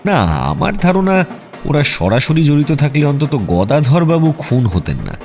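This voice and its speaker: A man playing a composed, detached private detective who talks in a measured way in an audio drama. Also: a little high, wildly swooping pitch, a little mellow, exaggerated voice. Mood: reasoning. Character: calm, methodical male private detective. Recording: faint music behind the voice.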